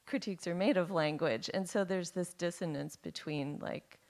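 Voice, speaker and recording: A woman's voice: on the low side, moderate pace, darkish tone. Woman asking a tentative question. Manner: searching, tentative, uses fillers like "like". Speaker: audience member asking a question. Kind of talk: audience question. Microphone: speaking through a mask.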